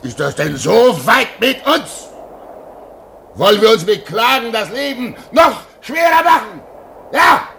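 A fatherly, God-fearing man of a polar station in a radio play. His delivery is unhurried and swings widely, with a bright, forceful edge as he comforts and admonishes. Faint noise sits in the recording. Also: very high-pitched, lively intonation, creaky, heavily acted. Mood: admonishing, pleading. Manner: gentle, admonishing, pious. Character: devout fatherly male polar researcher, consoling and admonishing.

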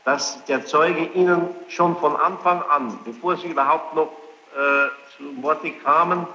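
A man questioning a witness as a judge in formal, halting German phrasing, insistent and reproachful. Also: fairly high pitch, bright, forceful tone. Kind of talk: courtroom interrogation, spontaneous formal speech. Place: large echoing courtroom. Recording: dull, noisy archive courtroom tape, echoey hall.

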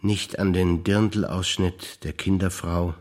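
An older man, a stage actor reciting memories in a rhythmic, deliberate listing manner with pauses. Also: sits low, level tone, darkish tone.

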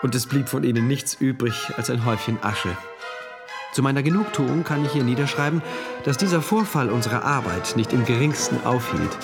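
Earnest engineer diarist, mid-pitched, precise, introspective radio drama narration, music behind. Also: male voice, lively intonation, moderate pace, creaky. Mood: measured, proud. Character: earnest male engineer writing a diary in a regimented future state. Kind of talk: first-person radio play narration.

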